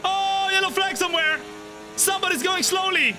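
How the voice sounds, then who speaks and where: Excitable young man calling a sim race. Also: moderate pace. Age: 20s. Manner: excitable, jumps high when the race action happens, laid-back when chatting. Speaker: sim racing streamer. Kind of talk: live game commentary and chat reading. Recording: game music behind the voice. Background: race car engines and game music.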